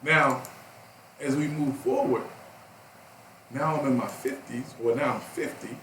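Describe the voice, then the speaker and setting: A man's voice: medium pitch, steady tone, a little slow, a bit bright. A man of fifty co-hosting a talk radio show, passionate and emphatic, rising to outbursts. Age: 50s. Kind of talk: heated talk radio discussion. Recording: roomy, slightly distant, faint background noise.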